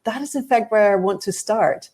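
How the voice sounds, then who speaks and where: Mellow-voiced Danish-born woman giving a talk. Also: mid-range voice, normal speed, husky. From Denmark. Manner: frank, structured storytelling with light humor. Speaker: award-winning speaker. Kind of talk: personal keynote talk.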